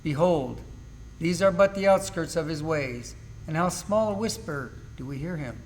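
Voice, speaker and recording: A man's voice: a little high, expressive, normal speed, a little hiss or hum. An amateur actor, a man, playing a suffering, bitter but steadfast man in a solemn, declamatory Bible drama reading. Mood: awed. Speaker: church class amateur actor.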